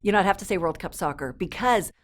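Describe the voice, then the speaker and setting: A woman's voice: fairly low pitch, animated, quick pace. A woman in her fifties who grew up in South America, an interview guest telling stories in an upbeat, fluent way with a few ums. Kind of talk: casual interview chat.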